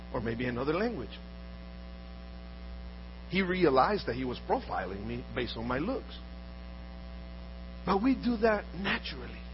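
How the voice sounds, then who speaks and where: A man from Honduras earnestly telling personal stories, often repeating words and restarting as he talks. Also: on the high side. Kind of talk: storytelling talk. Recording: dull audio with faint mains hum.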